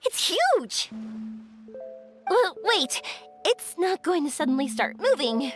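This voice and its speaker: An actress playing a cheerful, excitable young fireworks maker with a big heart in an English-voiced anime-style game, speaking in an earnest, animated way. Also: female voice, very high voice, slow pace, exaggerated voice. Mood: amazed, excited. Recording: music bed behind studio dialogue.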